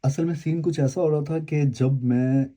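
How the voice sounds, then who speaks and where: A man's voice: fairly high pitch, steady tone, normal speed, mellow, a little hiss or hum. Man casually explaining a product demo. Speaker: host of an unboxing and review video.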